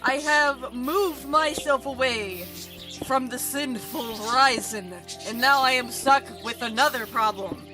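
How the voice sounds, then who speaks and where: Rough-voiced woman streamer over music. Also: sits high, a little slow, poor audio. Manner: rambling, swearing, exasperated. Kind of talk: casual live stream chat while gaming. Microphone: desk microphone with mute button. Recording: music playing under the voice. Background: background music.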